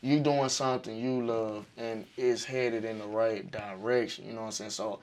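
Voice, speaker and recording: A young man from Arkansas with a raspy voice, a music artist telling stories in a casual interview, animated and full of slang. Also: on the low side, moderate pace. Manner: animated, profane storytelling with slang.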